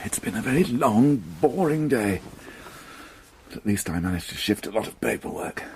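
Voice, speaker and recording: An actor playing a weary, curt police inspector in a British radio crime drama. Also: male voice, fairly low pitch, animated, moderate pace, a little mellow, slight background noise, close to an everyday voice. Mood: quiet. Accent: British English.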